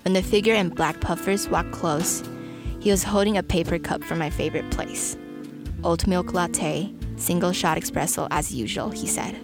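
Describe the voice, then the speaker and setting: A woman's voice: fairly low pitch, moderate pace, music in the background. A young adult woman from China telling personal stories casually as an interview guest.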